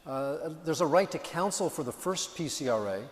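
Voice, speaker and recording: Calm man explaining in an echoey hall. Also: fairly high pitch, moderate pace. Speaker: panelist answering a legal question. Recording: echoey hall with long reverb.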